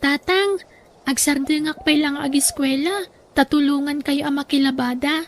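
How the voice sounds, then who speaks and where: A woman with a northern Philippine accent playing a caring, dutiful young daughter in a radio drama, gentle and earnest. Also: high-pitched, normal speed. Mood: earnest, worried.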